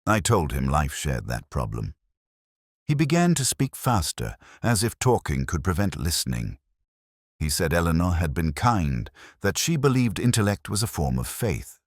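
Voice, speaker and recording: A man narrating a scripted first-person detective story in a measured, brooding, literary manner. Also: sits low, sing-song, no echo, studio quality.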